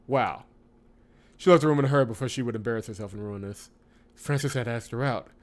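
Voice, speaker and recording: A man's voice: medium pitch, fast. A man hosting a visual novel let's play, reading story text expressively with casual asides and corrections of his own slips. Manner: expressive reader who breaks off to correct himself.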